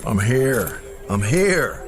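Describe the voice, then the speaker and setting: Swooping, petulant cowboy star. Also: male voice, mid-range voice, big pitch swings, drawn-out words, very noisy. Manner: petulant, self-important. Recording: loud music behind the voice.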